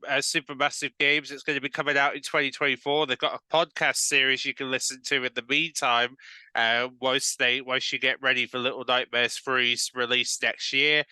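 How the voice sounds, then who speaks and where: A man's voice: mid-range voice, bright, forceful tone, clean tone, no room sound, rough recording. A man with a cold hosting a casual gaming news update, rambling with many fillers and restarts. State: has a cold.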